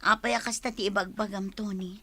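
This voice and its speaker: A woman's voice: mid-range voice, fairly even intonation, a little fast, a bit bright, no room sound. An actress playing a wronged, hurt and indignant mother in a Filipino radio drama. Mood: outraged.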